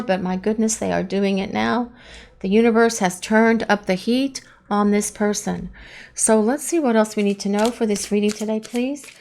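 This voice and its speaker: A woman giving a chatty, earnest tarot love reading, her voice hoarse and partly lost to allergies. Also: on the high side, a little mellow. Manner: earnest, chatty, pleading questions to the cards. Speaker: tarot reader.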